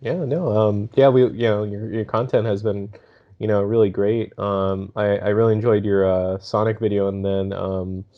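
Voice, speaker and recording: A man co-hosting a podcast in casual chat, rambling in a halting way full of fillers. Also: lowish voice, fairly even intonation, highs cut off. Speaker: podcast co-host.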